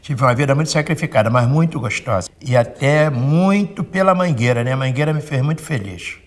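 A man in his late sixties, a samba singer, tells his story with feeling. His voice sits at an ordinary pitch. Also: animated, a little fast. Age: 60s.

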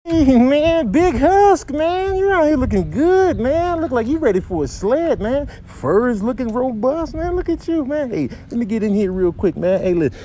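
A man's voice: very high-pitched, big pitch swings, moderate pace, long pauses, mellow, a little hiss or hum. A man voicing a slangy, chummy animal in comedic voiceover. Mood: flattering. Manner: slangy buddy talk. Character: chummy flattering animal turning menacing.

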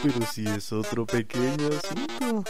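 Man voicing a slow, theatrical mad skeleton doctor. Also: medium pitch, big pitch swings, over loud music. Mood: mocking. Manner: theatrical, drawn-out, taunting delivery. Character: mad skeleton doctor, old, mocking, sadistic villain. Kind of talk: dramatic fan-dub comic acting in Spanish.

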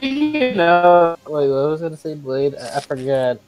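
A man's voice: on the high side, sing-song, normal speed, a little mellow, soft background music, low-quality recording. An eighteen-year-old man chatting casually while playing an online tabletop game. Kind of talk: casual chat.

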